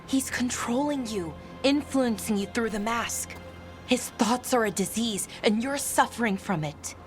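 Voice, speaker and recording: A woman's voice: a little high, a little slow, lightly acted. An actress playing an earnest young elven woman in a video game, loyal but wounded, with an emotional, pleading delivery. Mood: accusing. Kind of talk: dramatic video game voice acting. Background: music.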